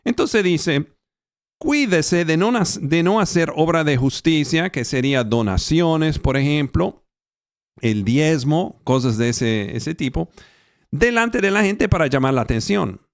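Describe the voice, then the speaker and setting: Slow, deliberate male preacher. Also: a little high, animated, no echo, clean studio sound. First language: not Spanish. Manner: slow, halting, with fillers and self-corrections. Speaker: pastor. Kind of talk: Bible teaching sermon. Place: church, recorded for an online channel.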